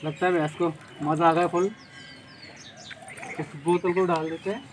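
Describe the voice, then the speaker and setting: A young man giving excited, repetitive casual vlog commentary to his viewers outdoors. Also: on the high side, moderate pace. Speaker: vlogger. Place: open dirt farmyard, outdoors. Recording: noisy outdoor yard, birds and animals.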